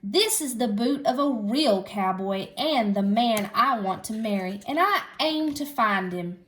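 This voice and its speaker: Storybook belle, read by a woman: young, choosy cowgirl, folksy, high and animated. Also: unhurried. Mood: determined. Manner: folksy country dialect.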